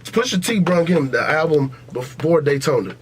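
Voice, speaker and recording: A man's voice: on the high side, expressive, normal speed. An American man co-hosting a podcast, debating rap in a blunt, slangy, profane way and often repeating himself to push his point. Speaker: podcast co-host. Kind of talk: heated casual debate about rap music. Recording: noisy podcast audio with hum.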